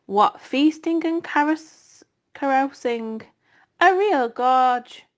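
Storybook rat read by a woman: husky, hoarse, bloated and smug, with snarled, drawn-out lines. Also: sits high, animated, pitched above the performer's own voice. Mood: gloating. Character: gloating greedy male rat, husky and stuffed.

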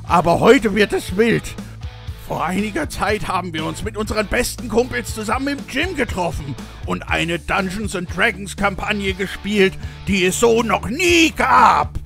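A man's voice: high-pitched, animated, unhurried, vocal fry, fairly bright tone, full character voice. A man playing a hyped muscle-bound gym bro giving a grandiose, theatrical intro. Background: guitar music.

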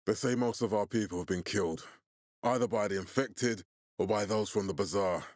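A man voicing a grave, calculating militia commander in a video game, with a husky, authoritative and deliberate delivery. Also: lowish voice, no room sound, clean studio sound, lightly acted. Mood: grave, measured. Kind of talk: video game character dialogue.

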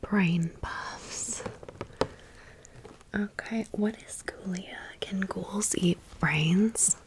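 A woman speaks in a breathy whisper, rambling casually with playful dry asides as she presents items in an ASMR show-and-tell. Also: mid-range voice, very slow. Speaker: ASMR content creator presenting toys.

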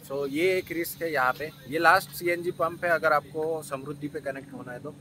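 A young man talks his viewers through a road trip, chatty and explanatory, his voice sitting a little high. He films himself on a small camera in a car and at roadside stops. Loud road and wind noise runs behind him. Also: steady tone, fairly quick. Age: young adult. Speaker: travel vlogger. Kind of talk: casual road-trip vlog narration. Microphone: small camera held or mounted close.

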